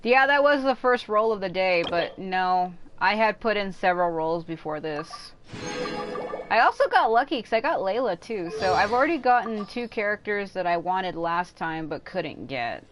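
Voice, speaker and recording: Casual woman streamer over game music. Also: medium pitch. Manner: rambling, informal, swears casually. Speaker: game livestreamer. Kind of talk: casual livestream chat while playing.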